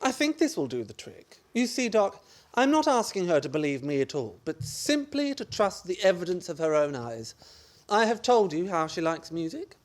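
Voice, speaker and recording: A man's voice: sits high, sing-song, moderate pace. A man acting an exasperated, scheming husband in a radio play farce, with a blustering, theatrical delivery. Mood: confident, scheming.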